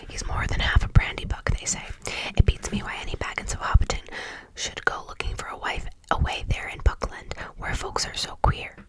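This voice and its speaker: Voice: normal speed, lightly acted. A reader whispering the part of a nosy old male hobbit villager, gossiping with suspicion. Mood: suspicious.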